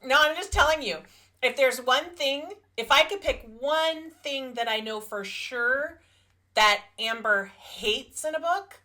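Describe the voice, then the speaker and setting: A woman's voice: high voice, measured pace, sharp tone. A woman co-hosting a podcast in casual book-review chat, conversational and repeating phrases for emphasis.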